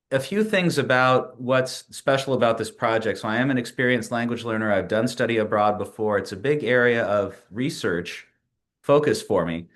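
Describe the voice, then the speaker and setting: A man in his late forties presents his project over a shared screen at a mid pitch. He talks in a measured way, pausing often with filler sounds and restarting words, like a student presenting to a seminar. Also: fairly bright tone. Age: 40s.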